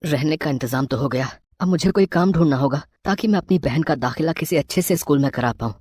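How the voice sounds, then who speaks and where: A woman plays a twelve-year-old runaway orphan boy in a moral story. The voice sits fairly low and is soft and earnest, full of tender determination. It is recorded close and dry. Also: fast, breathy edge, no room sound. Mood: earnest resolve. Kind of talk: Hindi moral story dramatization.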